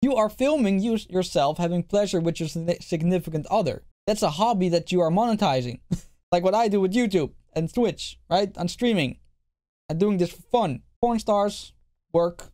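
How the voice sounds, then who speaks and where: A man's voice: high voice, expressive, normal speed, dark tone. A man streaming a reaction video, talking in a blunt, profane, repetitive way while losing his voice. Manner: blunt, profane, repeats himself. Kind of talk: casual reaction commentary.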